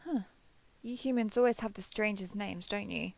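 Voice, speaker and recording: Crisp, bright-voiced caring robot soldier, played by a woman. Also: medium pitch, normal speed, no echo. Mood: friendly, earnest. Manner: gentle, reassuring, slightly awkward. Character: giant friendly alien transforming robot soldier, caring and reassuring. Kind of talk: scripted roleplay audio drama addressed to listener.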